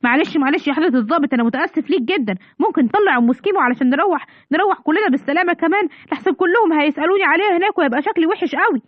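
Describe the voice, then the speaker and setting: A man's voice: extremely high pitch, expressive, racing, hardly any pauses, no echo, dull audio. Woman doing a meek pleading cartoon dad. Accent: Egyptian Arabic. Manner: pleading, apologetic. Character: meek apologetic cartoon father.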